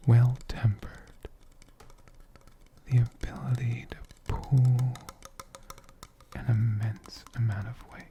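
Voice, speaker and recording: A man voicing an ancient, gentle, affectionate dragon in soft-spoken whispered ASMR, lingering over words and softly repeating them. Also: mid-range voice, lo-fi sound, noisy background. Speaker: ASMR host answering viewer questions. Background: computer keyboard typing.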